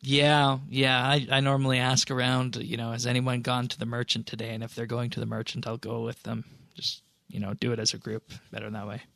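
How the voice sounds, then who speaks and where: Male podcast host, middle pitch, gravelly fry, casual explainer delivery, dry close sound. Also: monotone, fairly quick, few pauses, fairly bright tone, no echo. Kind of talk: casual commentary on game patch notes.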